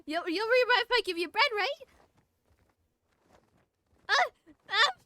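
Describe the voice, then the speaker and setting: High, animated, bright-voiced woman streamer. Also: slow pace, dry recording. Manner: playful, flustered, stammering banter. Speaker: livestreamer. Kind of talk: casual game stream chat. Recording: music playing behind the voice. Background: music.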